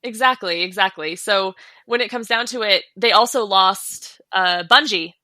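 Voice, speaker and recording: Bright-voiced American woman, close and dry. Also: medium pitch, moderate pace, no echo. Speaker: podcast co-host. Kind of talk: casual industry chat.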